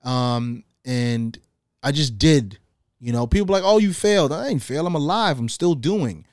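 A man talks in a loose, slangy way, full of streetwise asides. His voice sits at an ordinary middle pitch. It is recorded close and dry, with no room sound. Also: normal speed, no echo. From Guyana. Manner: loose, slangy, animated storytelling. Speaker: podcast guest. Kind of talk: casual conversation.

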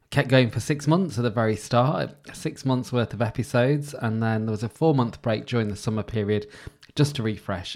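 Calm, low-voiced man. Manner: calm, gentle and sincere; soft-spoken with frequent pauses and restarts. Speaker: podcast host. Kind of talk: solo reflective podcast talk.

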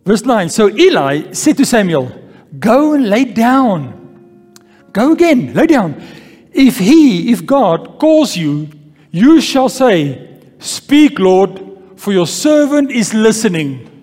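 Very high-voiced slow preaching man. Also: big pitch swings, a little mellow. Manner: call-and-response preaching, repeats key phrases. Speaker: pastor. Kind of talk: sermon with scripture reading. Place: church hall. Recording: long church-hall reverb with faint music.

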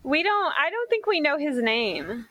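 A woman's voice: high-pitched, big pitch swings, normal speed, pushed and bright. A woman with a Canadian accent chatting casually in a film commentary.

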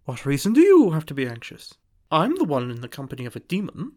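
A woman voicing a prim, fussy, gentle male angel bookseller with polite, old-fashioned manners, in a storybook reading. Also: sits low, huge pitch swings, quick pace, full character voice. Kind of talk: audiobook character voice.

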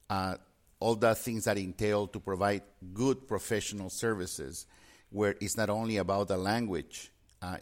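Halting lowish-voiced man from Peru. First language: Spanish. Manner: halting, many fillers and restarts. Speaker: interpreting business guest. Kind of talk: podcast interview answer.

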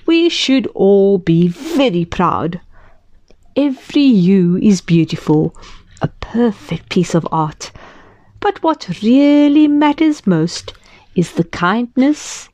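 Woman doing a joyful mother, gentle, reassuring, unhurried, emphatic, storybook. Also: mid-range voice, very animated, no room sound. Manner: warm, reassuring, slow and emphatic.

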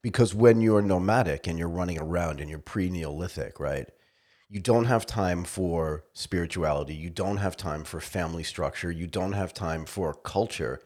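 A man's voice: sits low, normal speed, darkish tone. A man hosting a podcast, asking curious, enthusiastic questions. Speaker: podcast host. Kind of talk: podcast interview.

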